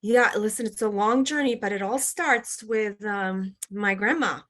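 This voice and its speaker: A woman's voice: mid-range voice, measured pace, fairly bright tone. A middle-aged woman from the United States, a company executive chatting casually as an interview guest, with lots of fillers and restarts. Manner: chatty, many fillers and restarts, laughs often.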